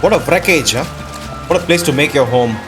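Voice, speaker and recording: A man's voice: fairly high pitch, expressive, fairly quick. A man giving casual let's-play commentary as a gaming host, thinking aloud in short questions. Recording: music behind the voice. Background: game music.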